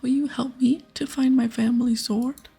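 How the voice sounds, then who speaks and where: Woman putting on a grandiose, breathy pirate nobleman. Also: high voice, fairly even intonation, moderate pace, pitched above the performer's own voice, heavily acted. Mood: grandiose, pleading. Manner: theatrical, grandiose storytelling. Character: scheming male pirate posing as a dispossessed nobleman. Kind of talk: whispered ASMR reading of game dialogue. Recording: soft music behind.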